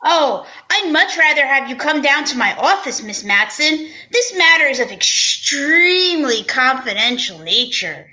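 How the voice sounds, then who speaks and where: A woman acts out a formal, self-important male department store executive for an old-time radio play. The voice is high and bright, with a very lively melody. The phrasing is stiff, businesslike and halting. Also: exaggerated voice, low-quality recording. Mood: confidential, insistent.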